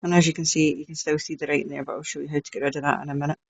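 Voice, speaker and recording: A woman's voice: low-pitched, flat delivery, racing, creaky, breathy edge, no echo. A woman with a Scottish accent, chatting her way through a step-by-step crafting tutorial with blunt, frank asides. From Scotland.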